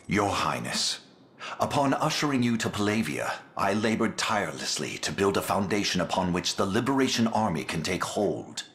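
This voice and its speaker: An actor playing a grave, loyal counselor to a prince, speaking in formal, solemn oratory in a fantasy video game. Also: male voice, deep voice, very animated, normal speed, a bit bright. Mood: solemn, rousing.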